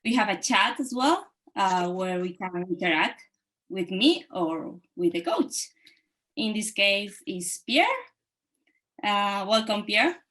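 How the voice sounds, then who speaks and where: Slow-talking woman host. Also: mid-range voice, lively intonation, a bit bright. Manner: slow, with frequent fillers. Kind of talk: introducing a video-call session.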